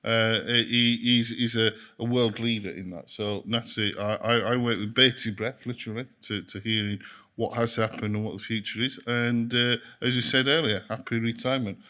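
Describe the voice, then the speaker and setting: A man's voice: medium pitch, fairly even intonation, moderate pace, pushed and bright. A man chairing a conference, introducing the next speaker with hesitant, repeated words.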